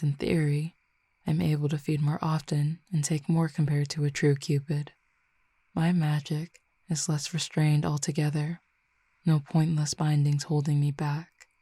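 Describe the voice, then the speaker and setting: A dominant, teasing fallen Cupid, played by a woman in an audio roleplay. The voice sits low and dark with a gravelly edge, held level with little rise and fall. It speaks slowly and closely to the listener, slipping into whispers. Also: no room sound. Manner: slow, measured, intimate, with soft whispered stretches. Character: commanding, teasing fallen Cupid, not human.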